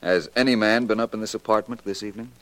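An actor playing a suave, shrewd private detective, polished and wryly courteous, in a late 1940s radio drama. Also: male voice, lowish voice, fairly even intonation, fast talker, fairly bright tone, natural voice. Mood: coolly probing. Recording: old 1940s radio recording.

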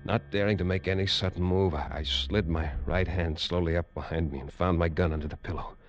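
Deep-voiced hard-boiled male narrator. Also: steady tone, fairly quick, slight background noise. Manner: tense, hard-boiled first-person narration. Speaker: lead actor and narrator. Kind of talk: 1950s radio drama narration. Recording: dull old radio recording, faint music.

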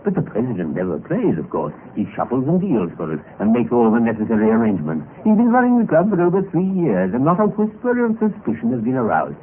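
An actor playing a jaded, infirm, cowardly gentleman who savours fear, speaking with urbane, precious relish in an old-time radio drama. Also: medium pitch, huge pitch swings, fast, few pauses, phone-quality sound. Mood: amused, admiring. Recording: band-limited vintage radio recording with hiss.

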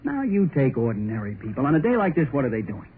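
An actor playing an insistent young working husband, emphatic and repetitive, in 1930s radio drama style. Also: male voice, mid-range voice, sing-song, fast talker, close to an everyday voice. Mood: carefree, earnest. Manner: emphatic, repeats himself. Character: young working husband, insistent, carefree yet money-worried. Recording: noisy, narrow-band 1930s radio.

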